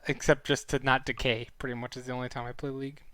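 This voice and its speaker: A man's voice: medium pitch, flat delivery, fast, pushed and bright. A young man hosting a casual live-stream review, chatty and rambling, his throat sore as he starts losing his voice. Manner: chatty and rambling, with frequent fillers and self-corrections. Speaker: esports analyst streaming a weekly review.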